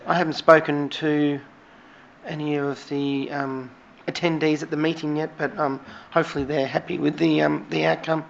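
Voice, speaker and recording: A man with an Australian accent speaking as a councillor, friendly and chatty with fillers, with a croaky voice, recorded on dull lo-fi room audio. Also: fairly high pitch, little pitch movement, moderate pace. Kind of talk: casual remarks in a meeting.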